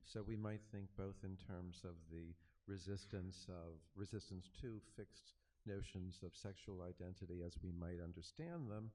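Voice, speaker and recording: A man's voice: low-pitched, normal speed, hardly any pauses, dark tone, recorded from a distance. A man moderating a post-film Q&A, asking a long scholarly question with hedging fillers. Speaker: moderator, academic. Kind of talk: academic Q&A moderation. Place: cinema auditorium.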